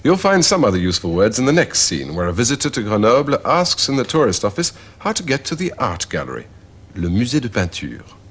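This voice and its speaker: A man's voice: mid-range voice, huge pitch swings, normal speed, breathy edge. A man presenting a language course, explaining phrases and modelling them for learners. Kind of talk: scripted teaching narration.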